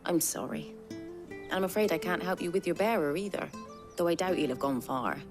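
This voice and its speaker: A woman's voice: lowish voice, lively intonation, normal speed, music in the background, close to an everyday voice. A woman playing a talkative, wistful villager in a fantasy video game, folksy and polite, with a British accent. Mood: wistful, apologetic. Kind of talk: video game cutscene acting.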